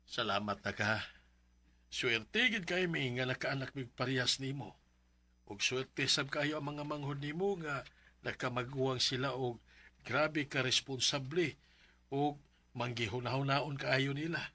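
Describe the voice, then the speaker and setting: A man's voice: mid-range voice, unhurried, pushed and bright. An actor with a Filipino Bisaya accent playing a proud, doting middle-aged father in a radio drama, with a jovial manner. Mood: proud, grateful. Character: proud, doting hard-working older father.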